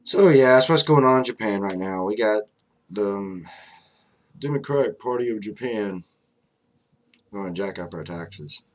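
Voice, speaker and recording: A man's voice: on the low side, lively intonation, a little slow, pauses often. Blunt young man ranting to a webcam. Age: young adult. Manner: blunt, conversational, many fillers like "you know". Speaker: vlogger. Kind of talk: casual political commentary to camera. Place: small room indoors, flag on wall behind. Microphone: webcam at desk.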